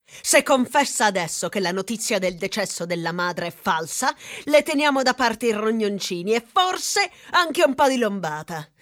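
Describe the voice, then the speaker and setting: A woman's voice: mid-range voice, sing-song, moderate pace, close to an everyday voice. A woman playing a bossy male castaway boss in an absurdist stage comedy, declamatory, accusing and sarcastic. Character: bossy male castaway leader, woodcutter's son, pompous cannibal demagogue. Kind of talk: theatrical absurdist comedy acting.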